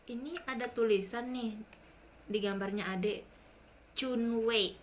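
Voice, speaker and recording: A woman's voice: on the high side, fairly even intonation, a little slow, slight background noise. Curious woman asking questions. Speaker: host, interviewer. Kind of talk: casual interview.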